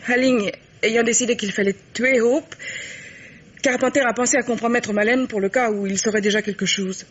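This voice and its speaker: A muffled, faintly hissy old radio mystery recording carries a high-voiced young woman played by an actress. She is a cornered accomplice, defensive and frightened, who pleads and insists in halting bursts. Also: steady tone, close to an everyday voice. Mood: tense explaining.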